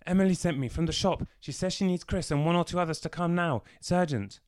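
A man's voice: a little high, fast talker, no echo, clean studio sound, pitched above the performer's own voice, close to an everyday voice. A man putting on a breathless panicked young boy. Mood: urgent. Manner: babbling, run-on and out of breath. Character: breathless panicked ten-year-old boy.

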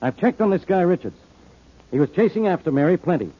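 An actor playing a gruff, sarcastic police inspector in an old-time radio detective drama, blunt and needling with a rough-edged voice. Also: male voice, sits high, big pitch swings, fairly quick, dull audio, full character voice. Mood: exasperated, accusing. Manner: blunt, needling, hard-boiled delivery.

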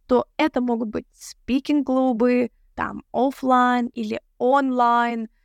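A young woman talks in a chatty podcast style as an English teacher. Her fairly high voice carries a gravelly fry. The sound is dry and close. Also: no room sound. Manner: chatty, mixes English words into speech. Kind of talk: casual advice podcast talk.

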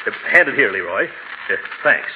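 Pompous, flustered uncle, wildly swooping voice. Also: male voice, lowish voice, big pitch swings, normal speed. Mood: exasperated. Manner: pompous, blustering, easily flustered. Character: pompous, flustered, chubby middle-aged uncle on a misadventure. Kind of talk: 1940s radio comedy acting. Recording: scratchy, narrow-band old radio recording.

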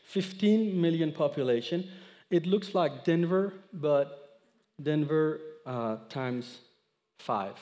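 A man in his thirties from Iran, speaking English with an Iranian accent, giving an expressive sermon that mixes scripture with his own testimony. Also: a little high, slow, in a large hall. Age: 30s. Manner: expressive storyteller, acts out dialogue, audience laughter. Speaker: guest speaker.

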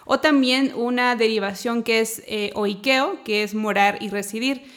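A woman's voice: on the high side, steady tone, measured pace, a bit bright. A woman from Chile with a mixed Chilean and Mexican Spanish accent, a podcast guest patiently explaining Bible terms and laughing easily. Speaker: guest, theology teacher.

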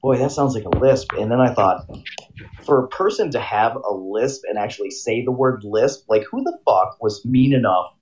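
A man's voice: mid-range voice, normal speed, darkish tone, lo-fi sound. A man co-hosting a crude comedy podcast, rambling with frequent laughs. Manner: rambling, laughing, jumping into silly voices. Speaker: podcast co-host. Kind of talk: crude casual chat.

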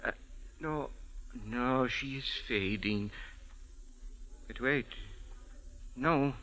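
A man's voice: medium pitch, very slow, bright, forceful tone. An actor playing a humble, pleading male sand diviner in a 1940s radio drama. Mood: mystical.

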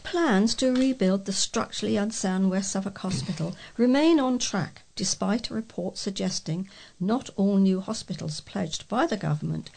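Female amateur newsreader, strongly gravelly voice, reading community bulletins from a script. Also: mid-range voice, darkish tone. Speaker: volunteer newsreader for a local talking newspaper. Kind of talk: read-aloud local news.

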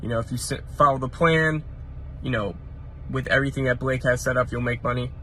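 Man, sharp bright tone, even pitch, casual testimonial, faint vehicle noise. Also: fairly quick. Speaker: customer giving a testimonial.

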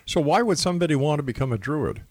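A man's voice: a little high, animated, fast, no echo. A man hosting a radio talk show, reading out web addresses in an announcer style and introducing his guest's credits. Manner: reads the guest's credits as a list. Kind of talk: radio interview.

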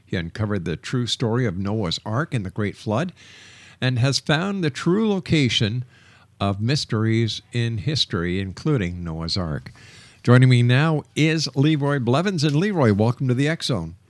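A man's voice: medium pitch, expressive, normal speed, dry recording. A man hosting a radio talk show, introducing and interviewing in a polished, announcer-like way. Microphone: close studio microphone.

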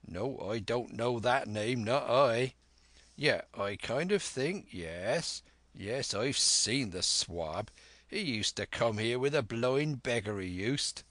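A man's voice: medium pitch, expressive, slow pace, fairly bright tone, dry recording. A man voicing a hearty, shrewd one-legged old sailor turned innkeeper, with emphatic, salty seafaring talk in a storybook reading. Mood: excited.

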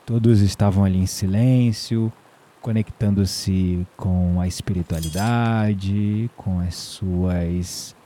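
An actor playing an amiable, self-deprecating, introspective man in an audio drama, speaking Brazilian Portuguese in a measured, reflective way. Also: on the low side, warm tone. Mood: reflective storytelling. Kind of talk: audio drama dialogue and inner monologue.

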